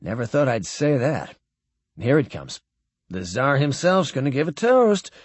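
A man's voice: medium pitch, very animated, unhurried, husky, no room sound. A man voicing a sardonic young naval officer making whispered asides. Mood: wry.

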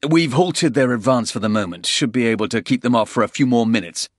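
Man acting a gruff British soldier, blunt, sardonic, terse, pitched up from narration, close dry sound, audiobook. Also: a little fast, no echo, close to an everyday voice. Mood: wry, tense. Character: gruff, sweary male British soldier under fire.

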